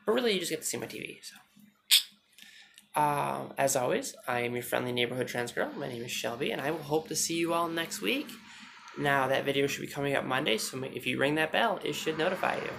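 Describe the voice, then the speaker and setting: A woman vlogging on her phone at home, chatting fast and joking with some swearing, her naturally deep voice held higher. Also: expressive, vocal fry, a bit bright, slight background noise. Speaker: YouTuber. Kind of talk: casual storytelling vlog. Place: indoors at home, TV behind her.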